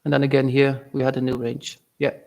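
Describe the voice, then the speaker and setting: A young man from Belgium talks through his trades on a live stream, reading chat aloud and pausing on fillers. His mid-range voice carries a gravelly, fry-tinged edge. The delivery is loose and conversational. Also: mid-range voice, monotone, darkish tone. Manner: casual, halting, reads chat aloud with fillers. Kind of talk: live-stream trading commentary.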